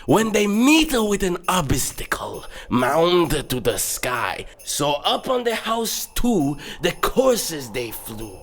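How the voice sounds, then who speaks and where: Animated, high-voiced male storyteller. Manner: dramatic, expressive, drawn-out phrasing. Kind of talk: theatrical scripted storybook narration. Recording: music behind. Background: background music.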